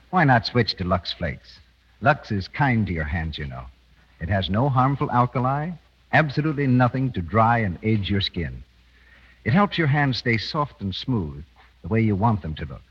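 A man's voice: medium pitch, breathy edge. Reverberant vintage radio announcer, a man. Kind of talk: 1930s scripted radio announcing and ad read. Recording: dull vintage radio, long hall reverb.

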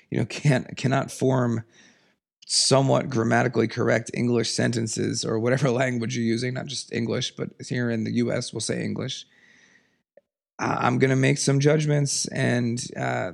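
A man hosting a solo podcast, raspy from a cold, talking in an earnest, emphatic, passionate way. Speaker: podcast host. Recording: lower audio quality.

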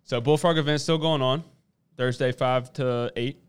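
A man co-hosting a casual livestream, running through updates with relaxed banter. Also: medium pitch, normal speed, smooth. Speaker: co-host of a brand livestream.

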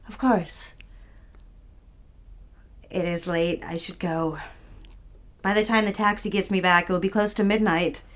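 A woman's voice: on the low side, fairly even intonation, a little slow, pauses often, close to an everyday voice. An actress playing an anxious, submissive young secretary in love with her boss, in a romantic audio drama with pleading, formal speech. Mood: polite, coy. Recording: music behind the voice.

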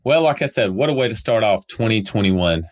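A very low-pitched man hosts a business podcast, wrapping up with upbeat thanks and sponsor plugs. His voice comes through a little nasal from a cold he is getting over. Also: lively intonation, a little fast. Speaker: podcast host. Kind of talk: podcast interview and outro.

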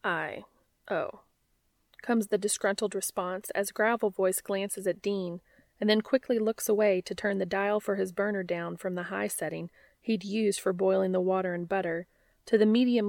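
Lady reading a fanfic audiobook style, normal pitch, really dry close recording. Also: steady tone, normal speed, a little mellow, no room sound. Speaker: audiobook narrator of fan fiction. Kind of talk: scripted story narration.

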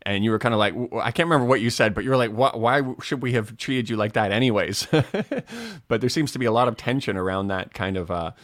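A man hosting a casual video call chat, talking in a relaxed, hesitant way with many restarts. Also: mid-range voice, quick pace, dry recording, studio quality. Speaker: host.

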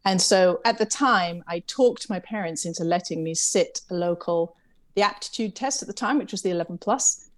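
A middle-aged woman from England with an English accent softened by years in America. She talks in a chatty, reflective way and laughs lightly at herself as she tells her story in an interview. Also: fairly low pitch, moderate pace. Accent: English, with some American influence. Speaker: interview guest, camp CEO. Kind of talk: conversational interview.

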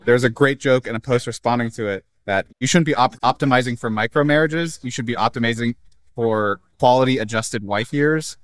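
A man's voice: mid-range voice, brisk, dry recording. A man in a casual group chat, joking and asking curious questions. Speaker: conversation prompter.

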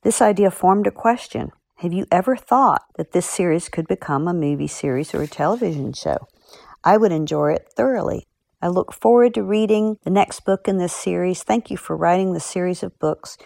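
A woman's voice: fairly low pitch, animated, normal speed, gravelly, a little mellow, no room sound. A woman speaking as an author in a podcast segment, chatting and reading out letters.

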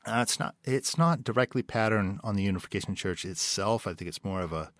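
A man with a very low voice talks through his answers in an interview, thinking aloud with fillers and restarts. The sound is close and dry. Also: brisk, a bit bright, no echo. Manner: thoughtful, searching for words with many restarts and fillers. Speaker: writer and director, interview guest.

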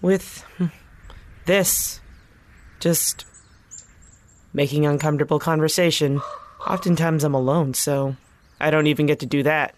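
A woman acting in an audio drama as a protective team boss with a deadpan, slightly awkward way of talking. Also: low voice, unhurried, long pauses, a little hiss or hum. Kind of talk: naturalistic fiction podcast acting.

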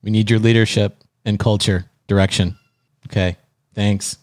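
A man's voice: fairly low pitch, level tone, moderate pace, darkish tone, no room sound. A man co-hosting a podcast, chatting casually and making prank calls. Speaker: podcast host.

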